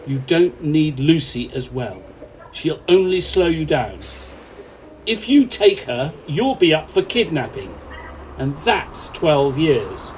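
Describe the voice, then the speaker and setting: A man's voice: fairly high pitch, slight background noise, rough recording. A man acting an earnest, authoritative off-duty policeman in an amateur radio play. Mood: urgent, pleading.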